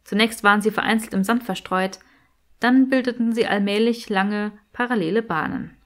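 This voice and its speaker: A woman's voice: medium pitch, normal speed. A woman narrating a scripted story as an audiobook reader.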